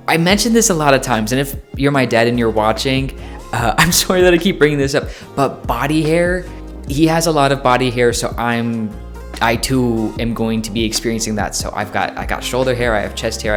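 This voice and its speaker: Chatty young man vlogging, faint music. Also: medium pitch. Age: 20s. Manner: chatty, lots of fillers and self-corrections; playful, jokey. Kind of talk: casual talk to camera.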